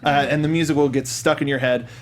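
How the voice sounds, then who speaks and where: A man's voice: fairly high pitch, fairly even intonation, fast talker, a little hiss or hum. Young man hosting a casual panel show. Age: young adult. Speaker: podcast host. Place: small podcast studio. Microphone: close studio mic with headset.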